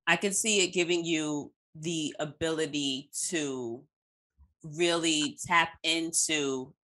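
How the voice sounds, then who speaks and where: A woman with a low-pitched yet bright, forceful voice speaks as a podcast interviewer. Her delivery is casual and reflective, affirming the guest while she works through a thought aloud. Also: slow.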